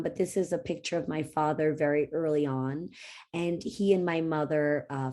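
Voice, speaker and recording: A woman's voice: low-pitched, warm tone. A middle-aged woman giving a personal keynote speech on stage, telling her family story earnestly with fillers and restarts. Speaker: keynote speaker. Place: conference stage.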